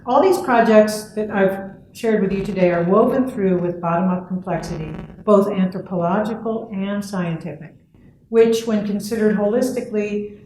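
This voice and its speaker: A woman's voice: medium pitch, mellow. A woman giving a keynote talk at an academic conference, speaking earnestly, with fillers between points. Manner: earnest, thoughtful, with frequent fillers. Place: lecture hall. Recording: echoey lecture hall, faint noise.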